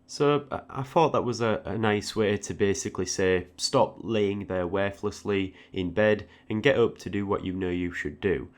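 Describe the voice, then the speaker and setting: A man's voice: on the low side, moderate pace, hardly any pauses. A man giving an informal, reflective audio log, often repeating words hesitantly as he goes. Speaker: art tutorial creator, channel host.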